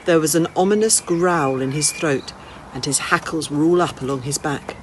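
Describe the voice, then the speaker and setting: A woman reading a children's novel aloud as an expressive narrator. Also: low-pitched, normal speed, a little hiss or hum. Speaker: storybook reader, school staff.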